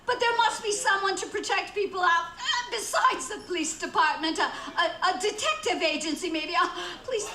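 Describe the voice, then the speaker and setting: Shrill, panicky, imperious wife. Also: female voice, moderate pace, few pauses, pushed and bright, roomy sound. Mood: desperate, pleading. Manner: shrill, melodramatic, stammering indignation. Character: panicky, imperious bedridden wife, frantic and easily outraged. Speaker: actor in radio play. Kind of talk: radio theater acting.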